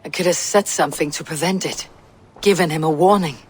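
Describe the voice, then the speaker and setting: An actress playing a stoic, battle-worn Viking warrior in a video game, telling a tale in a grave, measured way. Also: female voice, low-pitched, a little hiss or hum, lightly acted. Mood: stoic, troubled. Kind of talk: dramatic game dialogue, recounting a tale.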